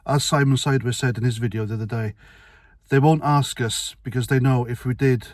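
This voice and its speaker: Middle-aged male vlogger, mid-pitched, dry sound, rambling and sweary. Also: fairly even intonation, brisk, darkish tone, no room sound. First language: Welsh. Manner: blunt, rambling, sweary, with restarts. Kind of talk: casual opinion monologue to camera.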